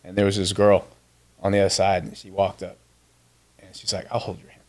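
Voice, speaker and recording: A man's voice: low voice, monotone, a little fast, darkish tone. A man in his fifties, born in Vietnam with Vietnamese as his first language, telling a personal story earnestly as a podcast guest. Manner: earnest, with frequent restarts.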